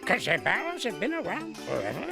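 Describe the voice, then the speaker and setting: A grouchy old ape from a cartoon, barking sarcastic insults at everyone around him. His voice is gravelly and full of creak, and it leaps all over the place in pitch. Music plays loudly behind him. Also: wildly swooping pitch, fairly quick, exaggerated voice. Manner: scolding, sarcastic, barks insults. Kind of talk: cartoon voice acting.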